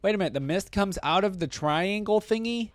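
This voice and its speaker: A man's voice: sits high, expressive, fairly quick, no echo. A man hosting a reaction video, rambling conversationally and musing aloud in casual commentary. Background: game music.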